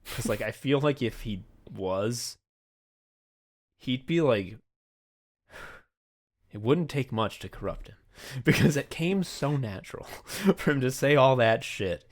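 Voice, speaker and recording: A man's voice: mid-range voice, lively intonation, takes it slowly, pauses often. A man hosting a podcast, chatting casually and effusively, with plenty of swearing. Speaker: podcast host. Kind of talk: casual behind-the-scenes podcast chat.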